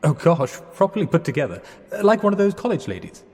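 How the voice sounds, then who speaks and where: A bumbling, well-meaning English fellow in a radio drama. His voice is on the high side and swings widely in pitch, a little husky. He hesitates and corrects himself politely, and the space around him rings with reverb. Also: sing-song, fast talker, a little mellow. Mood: awkward, reassuring. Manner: hesitant, flustered, polite, backtracking. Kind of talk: 1920s-set radio drama acting.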